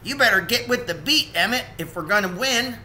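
Man as a young storybook beaver, bossy, folksy, urging, pitched well up, bright, faint noise. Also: lively intonation, a little fast, heavily acted. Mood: spirited, urging. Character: bossy young male beaver banjo player, singing. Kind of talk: storybook character reading.

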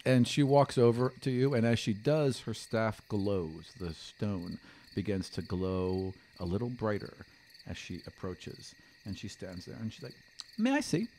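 A man's voice: on the low side, measured pace, darkish tone, slight background noise. A man in his thirties improvising narration as game master, close on a podcast microphone in a small room. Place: small studio room, indoors. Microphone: close podcast microphone on a stand.

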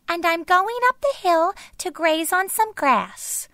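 Storybook tiny goat kid: very high, wee, quivering, timid and pleading. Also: female voice, expressive, slow, bright, forceful tone, no echo, clean studio sound, heavily acted. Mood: meek.

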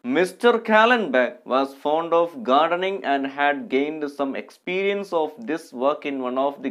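A man in his thirties with an Indian accent speaks as an English teacher in an online class. His voice sits a little high, and he goes very slowly, articulating each phrase carefully in an instructive way. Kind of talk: online lesson with text read aloud.

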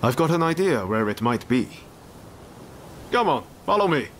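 An actor playing an easygoing, idealistic wandering ronin in a video game, with a teasing, confident manner. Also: male voice, medium pitch, sing-song, normal speed, slight background noise, close to an everyday voice. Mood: casual, sly. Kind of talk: video game dialogue acting.

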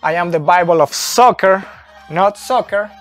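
A man in his thirties whose first language is not English, explaining soccer tactics slowly at a whiteboard, recorded on a phone camera indoors. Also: high voice, soft background music. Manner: slow, deliberate, with fillers and restarts, pointing at a board. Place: indoor room with a brick wall and whiteboard. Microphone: phone camera, close and moving.

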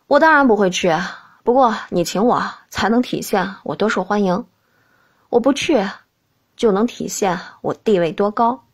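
A woman's voice: medium pitch, expressive, moderate pace, voice pushed down, lightly acted. A woman voicing a young crown prince who is cool, haughty and languid, in an audiobook reading. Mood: disdainful. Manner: aloof, languid, terse. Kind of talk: audiobook character acting.

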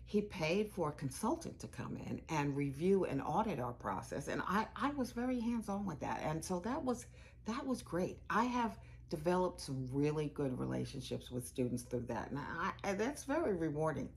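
An actress plays an older American woman who works as a law school administrator, candid and caring. Her voice is low and creaky, with lively swings in pitch. She talks emphatically and conversationally, restarting phrases as she goes, as in a dramatized first-person monologue. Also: normal speed, a little hiss or hum, heavily acted. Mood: earnest, proud. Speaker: performer in a dramatized narrative. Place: small indoor room with bookshelves.

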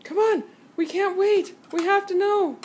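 A woman's voice: very high-pitched, fairly even intonation, unhurried, darkish tone, slight background noise. A woman hosting a home cooking video from off camera, with exaggerated, teasing mock-TV-host enthusiasm, recorded on a phone in a home kitchen. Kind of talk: playful mock TV-host banter. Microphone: phone camera from off screen.

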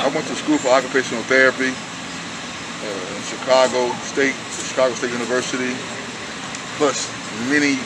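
A middle-aged man casually explaining stretches into a handheld phone, rambling with many restarts. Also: mid-range voice. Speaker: vlogger sharing stretching tips. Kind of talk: casual how-to vlog. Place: airport gate and plane seat. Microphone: handheld phone camera at arm's length. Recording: noisy cabin and terminal hum, roomy.

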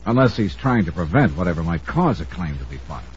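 Skeptical American investigator, old radio drama; low, quick, wry delivery on a dull recording. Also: male voice, sing-song, breathy edge, full character voice. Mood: probing. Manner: probing, wry, informal.

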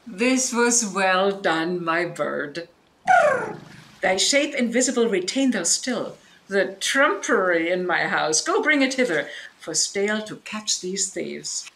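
Woman as a slow, imperious sorceress. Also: mid-range voice, lively intonation, fairly bright tone, a little hiss or hum, poor audio. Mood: commanding. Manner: deliberate, imperious. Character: stern, commanding vengeful sorceress mistress. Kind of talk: Shakespearean radio play acting.

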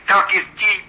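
A man's voice: sits high, bright, forceful tone, heavily acted. An actor voicing a small, sinister male ventriloquist's dummy, mocking and threatening, in old-time radio drama style. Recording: tinny, dull old radio recording.

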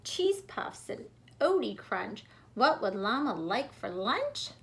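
A woman reads a children's picture book aloud in an expressive, rhythmic, deliberate way for young listeners. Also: fairly high pitch, creaky. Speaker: preschool teacher reading aloud. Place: bedroom at home.

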